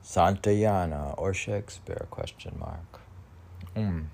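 A man rambling through a podcast of chat and poetry reading, halting and often talking to himself in questions and answers. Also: deep voice, wildly swooping pitch, creaky, a little mellow, a little hiss or hum. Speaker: poet reading and chatting on own podcast.

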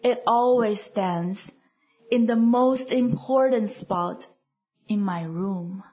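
A woman reads her poem very slowly, her voice slightly breathy and a little high. She lets each line settle before the next. The recording is a bit dull and lo-fi. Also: soft background music. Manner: soft, deliberate phrasing with pauses between lines. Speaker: poet reading her own poem. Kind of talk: slow, measured poetry reading.

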